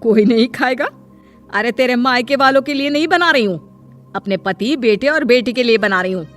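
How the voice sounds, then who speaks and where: A woman's voice: a little high, moderate pace, soft background music, close to an everyday voice. A woman playing a proud, domineering middle-aged mother-in-law who scolds pointedly in a cartoon family drama. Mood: scolding.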